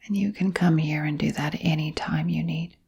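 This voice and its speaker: A woman hypnotherapist guiding listeners into sleep hypnosis in a soft, breathy whisper, with soothing phrasing and gentle pauses. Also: low-pitched, fairly even intonation. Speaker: hypnotherapist and channel host.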